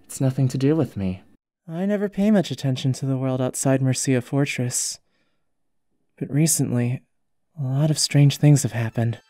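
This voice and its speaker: A woman's voice: rumbling low, normal speed, warm tone, no echo, studio quality. A woman playing a naive, awkward young tinkerer in a comic dub, casual and hesitant. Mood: matter-of-fact. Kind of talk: comic dub narration and dialogue.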